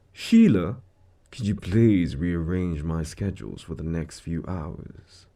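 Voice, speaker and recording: Suave, menacing male devil, audio drama. Low register with big swoops, theatrical, smugly condescending mock courtesy. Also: expressive, slow, dark tone, full character voice. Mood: breezy courtesy. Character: suave, smug male devil, menacing soul-contract broker in Hell. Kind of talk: scripted audio roleplay monologue.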